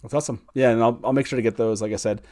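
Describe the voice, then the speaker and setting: A man with a mid-pitched voice hosts a casual live online panel. He talks informally, in a self-deprecating way, like a host chatting with guests on a stream. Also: fairly even intonation, racing, darkish tone. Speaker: host of a fan show. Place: online group video call, live stream.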